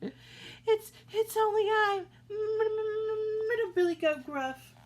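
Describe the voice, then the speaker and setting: A woman's voice: very high-pitched, measured pace, voice pushed up. A woman voicing a nervous male middle billy goat from a storybook, stammering and fretful. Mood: terrified.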